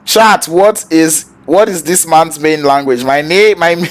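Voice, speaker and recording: A man, a Yoruba speaker, calling an esports match play-by-play in an excitable, slangy way. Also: fairly high pitch, unhurried. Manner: excitable, slangy, jokes with chat. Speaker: esports caster.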